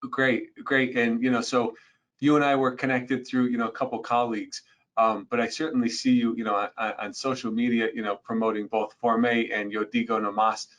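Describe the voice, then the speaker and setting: A man's voice: mid-range voice, little pitch movement, moderate pace, a bit bright. Man hosting a business podcast. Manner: friendly, with many "you know" fillers. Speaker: podcast host, company president.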